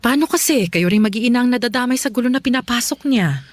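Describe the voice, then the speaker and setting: A woman acting as a kindly, concerned aunt in a Filipino radio drama, with a chatty, motherly and expressive way of talking. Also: on the high side, fast, no room sound. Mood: disapproving, concerned.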